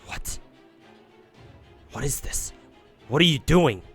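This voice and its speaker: A man voicing an anguished, self-loathing female fairy monster, speaking in a broken, halting way. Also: lowish voice, pushed and bright, faint music behind. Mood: shocked.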